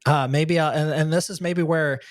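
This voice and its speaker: A man's voice: a little high, steady tone, moderate pace, dry recording. A man hosting a podcast, asking long rambling questions with many restarts. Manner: rambling, self-interrupting questions. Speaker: podcast host. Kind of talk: casual interview chat.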